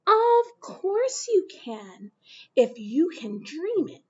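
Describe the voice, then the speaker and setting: A woman's voice: very high-pitched, big pitch swings, extremely slow, highs cut off, voice pushed up, exaggerated voice. A woman playing an encouraging storybook mother. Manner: warm, encouraging, emphatic. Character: encouraging mother of a young girl.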